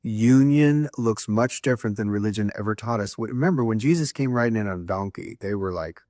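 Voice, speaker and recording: A man's voice: on the low side, animated, brisk, darkish tone, no echo, clean studio sound. A man co-hosting a spiritual talk show, speaking earnestly in a preachy way. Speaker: co-host.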